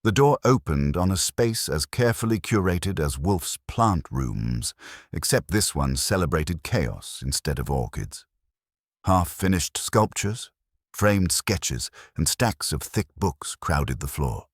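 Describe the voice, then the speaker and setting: A man reading a first-person detective story as an audiobook narrator, in a wry, measured storytelling manner. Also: low-pitched, very animated, no echo, clean studio sound.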